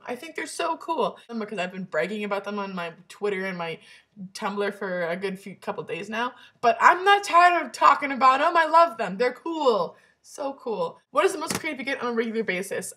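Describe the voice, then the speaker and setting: A woman's voice: fairly high pitch, bright, forceful tone. Bubbly eighteen-year-old woman vlogging. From Canada. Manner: bubbly, rambling, lots of ums and self-corrections. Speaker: vlog channel host. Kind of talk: casual chatty vlog.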